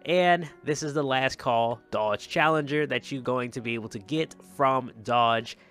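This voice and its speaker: A man's voice: mid-range voice, measured pace, a bit bright, faint music behind. A man hosting a gaming channel with casual, enthusiastic commentary on cars, often repeating phrases for emphasis. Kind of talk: casual video game roleplay commentary on cars.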